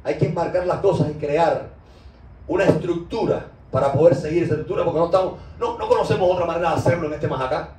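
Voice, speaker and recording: A man's voice: fairly high pitch, quick pace, darkish tone. A man with a Cuban accent giving an impassioned, informal sermon with loose conversational asides. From Cuba. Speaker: spiritual teacher. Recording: roomy echo, distant mic.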